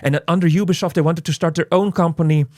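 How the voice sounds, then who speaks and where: A man's voice: on the high side, fairly even intonation, quick pace, darkish tone, dry recording, studio quality. A man casually hosting an unscripted video game review, chatty and rambling, often repeating words and phrases. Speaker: host of a game review channel.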